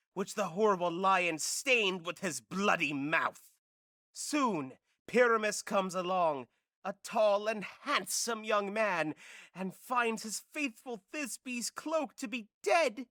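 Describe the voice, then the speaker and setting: A man's voice: sits high, bright, forceful tone, no echo, studio quality, exaggerated voice. A man playing an earnest amateur actor who recites a prologue in a theatrical, declamatory way for an audio drama. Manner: overblown, declamatory.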